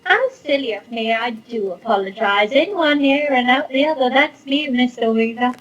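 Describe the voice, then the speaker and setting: High, husky, cooing landlady. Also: expressive, lo-fi sound, soft background music. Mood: apologetic, fluttery. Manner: cooing, genteel, drawn-out exclamations. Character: sweet, fussy, eerily cozy older English landlady.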